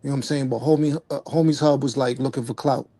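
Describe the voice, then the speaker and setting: A man's voice: mid-range voice, steady tone, fast talker, warm tone, no room sound. Laid-back man, dry close sound. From United States. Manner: laid-back, repeats "you know what I'm saying". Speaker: guest battle rapper. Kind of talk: casual live stream chat.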